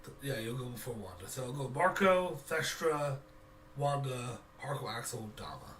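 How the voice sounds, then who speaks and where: A man improvising as game master, narrating in a halting, think-aloud way. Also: mid-range voice, big pitch swings, a bit bright, distant mic, slight background noise.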